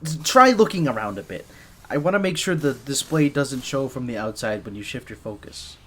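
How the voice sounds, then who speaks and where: A man's voice: mid-range voice, animated, normal speed, fairly bright tone. A man playing a shy, easily flustered gadget maker for a secret agent in an audio roleplay, speaking nervously and stammering. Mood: flustered, awkward. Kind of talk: scripted ASMR roleplay, one side of a dialogue.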